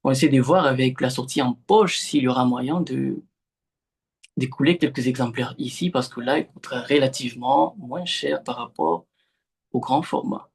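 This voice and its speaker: A young man from the Democratic Republic of the Congo, a Swahili native speaker, answering literary interview questions haltingly over a remote call, with many fillers and restarts. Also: mid-range voice, breathy edge. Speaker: novelist, interview guest.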